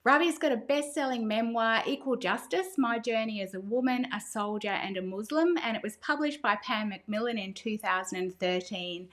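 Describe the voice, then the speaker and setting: A woman podcast co-host reads out a guest's introduction as a brisk list. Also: on the high side.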